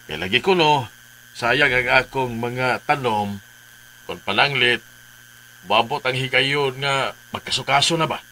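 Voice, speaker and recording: A proud, stubborn older tenant farmer in a Filipino radio drama. His voice is forceful and bright, with a Cebuano accent, over faint noise. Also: mid-range voice, a little slow, long pauses, low-quality recording. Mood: firm, reasoning. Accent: Filipino, Cebuano-speaking.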